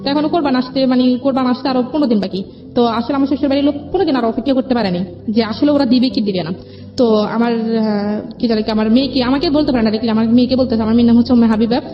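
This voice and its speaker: A woman's voice: on the high side, steady tone, racing. A young woman tells her own life story as an interview guest, rambling, repeating herself and quoting conversations. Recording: dull lo-fi audio with music behind.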